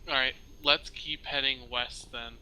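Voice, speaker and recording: A man's voice: medium pitch, steady tone, a little slow, sharp tone, smooth, low-quality recording. A man chatting casually as a player in a role play game, speaking hesitantly.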